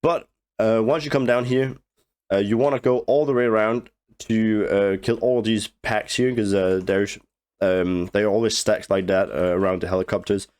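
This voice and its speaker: A young man with a cold, his nose blocked and voice hoarse, talking through a game dungeon run in a halting, casual way and often clearing his throat. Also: lowish voice, fairly even intonation, a little mellow. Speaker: game guide creator. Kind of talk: casual gameplay walkthrough commentary.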